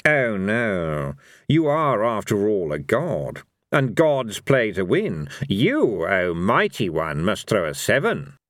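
Storybook role: man plays smug deity, unhurried and menacing, every word drawn out with condescension. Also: lowish voice, sing-song, fairly bright tone, dry recording, clean studio sound, exaggerated voice. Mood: smug, threatening. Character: Fate, smug menacing god of gambling.

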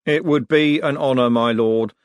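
A man's voice: mid-range voice, moderate pace, no room sound, clean studio sound, pitched above the performer's own voice, lightly acted. A man voicing a solemn, formal master clown in an audiobook, ceremonious and courteous. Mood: solemnly honoured.

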